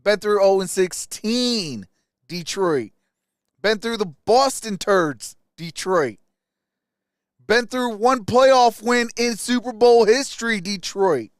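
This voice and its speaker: Male sports stream host, smooth high voice, colloquial fan talk, close dry sound. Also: animated, slow pace, no room sound. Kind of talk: casual live sports commentary.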